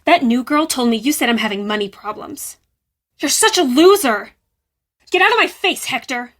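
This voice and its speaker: A woman playing a brash, defensive teenage girl who works as a high school hall monitor in a video game, with a sassy, dismissive and emphatic delivery. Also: expressive, normal speed, fairly bright tone, studio quality. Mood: angry. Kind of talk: acted video game dialogue.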